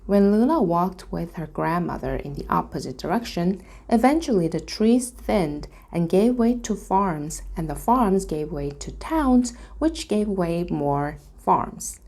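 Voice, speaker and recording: A woman reading a storybook aloud as narration, in a careful, deliberate manner. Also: fairly low pitch, lively intonation, darkish tone. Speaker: reader.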